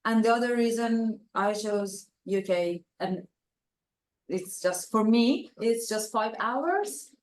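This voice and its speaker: A woman's voice: a little high, takes it slowly. Woman from Iran, student panelist, hesitant, searching for words, echoey room. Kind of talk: answering panel questions.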